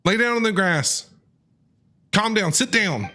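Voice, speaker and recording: A woman's voice: mid-range voice, lively intonation, a little slow, bright, forceful tone. A woman streaming a video game, casually reacting and talking back to the characters. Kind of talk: casual live gameplay reaction. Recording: game music faintly behind.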